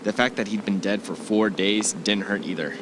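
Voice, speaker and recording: A man's voice: fairly low pitch, fairly even intonation, moderate pace, lightly acted. A man playing a worried but supportive husband in an amateur audio drama, hesitant and reassuring. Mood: subdued, tender. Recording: clearly noisy amateur recording.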